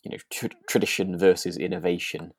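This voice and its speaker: A deep-voiced co-host talks casually on a podcast. The sound is very dry, free of room echo. The delivery is hesitant and conversational, softening points and often breaking into a chuckle. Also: flat delivery, a little fast, breathy edge. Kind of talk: relaxed conversational discussion.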